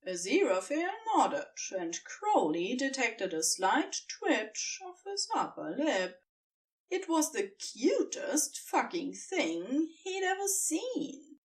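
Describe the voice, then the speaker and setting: Female narrator for fan fiction. Lively intonation, unhurried pace, expressive storytelling, a little off-mic. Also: high-pitched, recorded from a distance.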